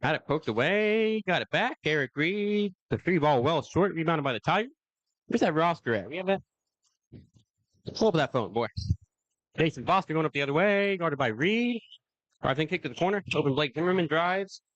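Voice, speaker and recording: A nasal man calls a school basketball game play by play, throwing in dry jokes. He sits a little high for a man. His headset gives a dull, dry sound. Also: animated, normal speed, fairly bright tone, dry recording. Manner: joking, dry asides. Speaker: basketball commentator. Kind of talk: casual play-by-play commentary.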